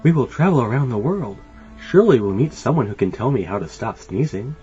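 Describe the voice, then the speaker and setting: A hapless, superstitious storybook woman, played by a man, speaks in a raised, very expressive voice. The pitch moves around a lot. The recording is a little dull, with music underneath. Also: brisk, close to an everyday voice. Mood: determined. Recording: dull lo-fi sound.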